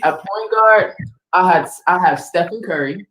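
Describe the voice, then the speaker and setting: A man's voice: high voice, moderate pace, a bit bright. A fourteen-year-old boy, a guest singer, chatting in an easygoing, rambling way. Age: teenager. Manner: easygoing, rambling, many fillers. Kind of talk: casual interview chat. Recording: roomy echo.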